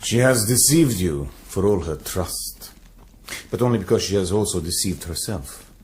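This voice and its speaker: An actor in a radio drama playing a proud, courteous young Syrian-born warrior who speaks in a measured, formal and earnest way. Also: male voice, low-pitched, lively intonation, darkish tone, a little hiss or hum. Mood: measured, candid.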